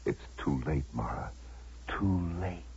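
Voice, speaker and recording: An actor playing a commanding otherworldly tempter in an old-time radio drama, theatrical and insinuating. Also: male voice, low voice, animated, slow pace, vocal fry, heavily acted. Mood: urgent, commanding. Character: commanding otherworldly tempter luring a woman back to paradise. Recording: noisy muffled old radio recording.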